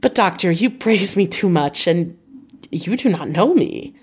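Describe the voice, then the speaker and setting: A young married lady from a gothic audiobook, read by a woman, with a slightly low female voice. She speaks courteously and demurely, earnest and modest throughout. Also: lively intonation, unhurried, a little mellow, husky, heavily acted.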